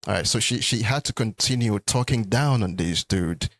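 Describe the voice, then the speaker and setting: A man co-hosting a couples podcast, telling stories in a relaxed, unhurried way and leaving sentences for his partner to finish. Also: lowish voice, no echo. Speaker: podcast co-host. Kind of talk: casual conversation.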